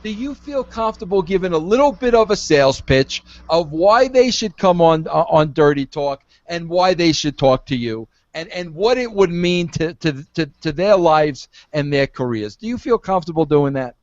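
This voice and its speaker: Podcast host, male, 50s, pushy and lively, dull dry audio. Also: on the high side, moderate pace, hardly any pauses, dry recording. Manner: pushy, coaxing, repeats himself. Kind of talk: casual podcast chat.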